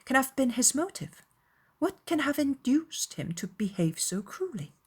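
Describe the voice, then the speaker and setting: A woman voicing a spirited, easily shocked young gentlewoman in a dramatized audiobook, speaking in an emphatic, exclamatory way. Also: sits high, very animated, unhurried, breathy edge, pitched above the performer's own voice, exaggerated voice. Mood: puzzled.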